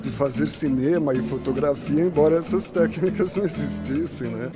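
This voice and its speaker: A man gives his thoughts in an interview, his voice a little high. Loud guitar music plays behind. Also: normal speed.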